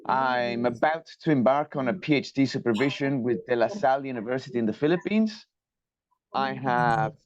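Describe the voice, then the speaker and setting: A man presents a technical lecture over a video call. His mid-range voice is measured and courteous, with small academic hesitations. The audio is dry and slightly dull, like a conferencing line. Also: mid-range voice, darkish tone, no room sound. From Colombia. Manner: measured, polite, academic, with fillers. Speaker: guest lecturer, structural engineer.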